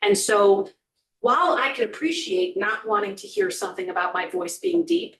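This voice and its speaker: Woman giving testimony, earnest and explanatory, gravelly voice, roomy hall, set back from the mic. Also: sits high, big pitch swings, normal speed, a bit bright, recorded from a distance. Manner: earnest, explanatory, many ums. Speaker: corrections official testifying. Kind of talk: committee testimony. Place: legislative hearing room. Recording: echoey hearing room, voice off-mic.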